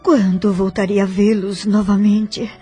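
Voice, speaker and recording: A Brazilian woman playing an emotional, devout daughter in a spiritist audio drama, tender and eager, in a husky voice. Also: mid-range voice, flat delivery, measured pace, mellow, soft background music, close to an everyday voice. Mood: tearful tenderness.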